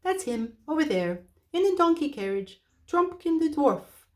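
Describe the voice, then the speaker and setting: A woman voicing a big, fussy, sleepy male owl who hoots his words high and loud in a storybook reading. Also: sing-song, unhurried. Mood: fussy hooting. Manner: high hooting, loud and sing-song.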